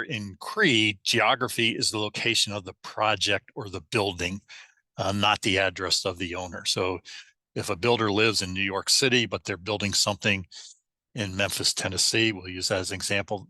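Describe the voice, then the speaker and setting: Hoarse male webinar presenter, fairly low voice, bright and forceful tone, halting delivery, dry close mic. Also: dry recording. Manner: halting, with frequent restarts and fillers. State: hoarse, losing his voice.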